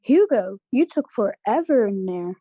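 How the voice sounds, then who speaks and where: A woman's voice: mid-range voice, big pitch swings, measured pace, mellow, no echo. A woman playing a small, feisty, bold young superheroine in an amateur table read. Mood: impatient.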